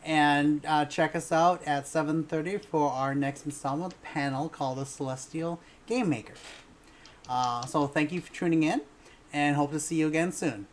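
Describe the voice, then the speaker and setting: A woman's voice: sits low, moderate pace, slight background noise. A woman casually hosting a fan panel on a livestream.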